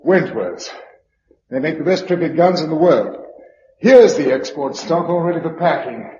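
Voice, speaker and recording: An actor playing a resolute, practical British survivor of a catastrophe in a radio drama, with a brisk, unsentimental, commanding manner. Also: male voice, a little high, lively intonation, darkish tone, natural voice. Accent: British English. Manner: brisk, matter-of-fact, commanding. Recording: dull lo-fi radio recording, faint noise.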